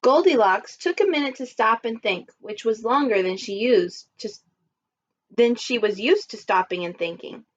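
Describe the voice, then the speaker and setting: A woman's voice: fairly high pitch, expressive, normal speed, muffled recording, a little hiss or hum. A woman primary school teacher speaking expressively and instructively to young children in simple phrasing, as in a read-aloud lesson.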